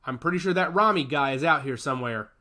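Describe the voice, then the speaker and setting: A man voicing a blunt male soldier who leads a squad, barking brisk orders in a storybook reading. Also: fairly high pitch, expressive, sharp tone. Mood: commanding. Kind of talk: audiobook character voice.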